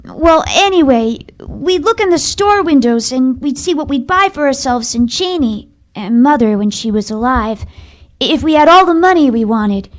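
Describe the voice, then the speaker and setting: A woman as an earnest, high-voiced young boy. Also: animated, moderate pace. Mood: shy, hopeful. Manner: hesitant, earnest, boyish. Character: earnest, plucky young street boy, polite and shy. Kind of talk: old-time radio drama acting.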